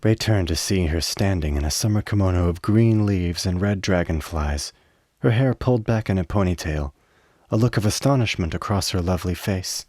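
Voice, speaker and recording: A man narrating a radio play with measured, literary dramatic storytelling. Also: low-pitched, a little mellow, dry recording, clean studio sound.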